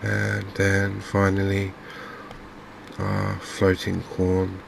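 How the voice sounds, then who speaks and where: Softly spoken man, low and very even. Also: creaky, noisy background. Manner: calm, understated, unhurried running commentary. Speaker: gaming video host. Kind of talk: softly spoken ASMR game commentary.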